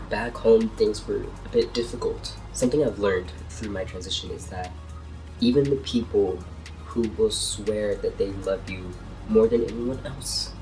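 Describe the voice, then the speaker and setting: Congested young man, deep and distant. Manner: earnest, heartfelt, reflective. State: congested from a cold. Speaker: vlogger. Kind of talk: personal vlog talk to camera. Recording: distant mic with soft music behind.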